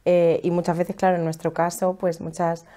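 A woman's voice: on the low side, level tone, dark tone. A woman in her thirties from La Mancha, thoughtfully describing her relationship in a home interview. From La Mancha, Spain. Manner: thoughtful, measured, some humming pauses. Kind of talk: personal account. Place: living room at home, indoors.

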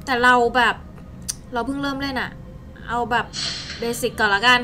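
A young woman with a high-pitched voice gives casual gameplay commentary as a channel host. She talks in a chatty, informal way as she plays. There is clear noise in the recording. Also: steady tone, normal speed, sharp tone. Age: young adult. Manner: chatty and informal, exclaiming in play. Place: indoor streaming setup at a desk.

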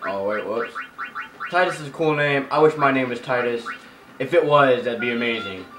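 A man's voice: medium pitch, moderate pace. A man doing casual, joking gameplay commentary in a hyper, goofy way as a let's play host. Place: room at home, beside a TV playing the game. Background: video game music from a TV.

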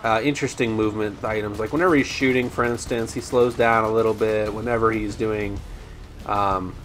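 A man commentating over his own gameplay, chatty and rambling with ums and restarts, his voice slightly raspy today. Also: lowish voice, fairly even intonation, brisk, a bit bright, soft background music. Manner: rambling, frequent ums and restarts, addresses viewers as guys. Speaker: gaming YouTuber.

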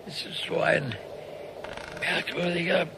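An actor playing a weary old grandfather in a German radio drama, speaking haltingly. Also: a little high, steady tone, vocal fry, full character voice. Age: older. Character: weary old grandfather, short of strength outdoors. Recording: noisy outdoor ambience.

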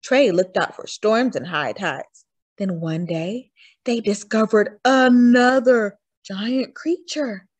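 A woman reading a children's picture book aloud in an expressive storytelling manner, drawing out words for emphasis. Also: medium pitch, dry recording. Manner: animated storytelling with drawn-out emphasis. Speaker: storytime reader.

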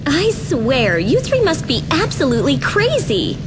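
Arrogant girl villain, high voice, haughty taunts, video game, music underneath. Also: huge pitch swings, a little slow, full character voice. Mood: mocking. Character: bratty, arrogant young girl villain with a cruel temper. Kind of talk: video game cutscene acting.

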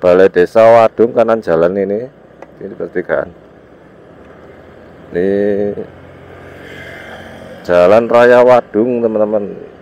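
A man's voice: fairly low pitch, takes it slowly, long pauses, darkish tone. A man with an East Javanese accent, congested with a cold, giving casual riding commentary in short, loose, informal phrases. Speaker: motovlogger. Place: outdoors, riding a motorbike on village roads. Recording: dull muffled sound, motorbike ride noise. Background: vehicle and road noise.

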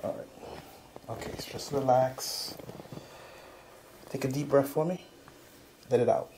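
A middle-aged man working as a chiropractor, calmly giving a patient hands-on instructions. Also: mid-range voice, unhurried. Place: small chiropractic treatment room. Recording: clearly noisy room sound.

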